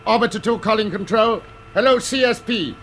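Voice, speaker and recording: Actor as male space navigator, high voice, casual and wry, old radio serial with faint noise. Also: a little fast, natural voice. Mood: wry, alert. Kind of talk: 1950s radio science fiction acting. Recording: noisy vintage 1950s radio recording.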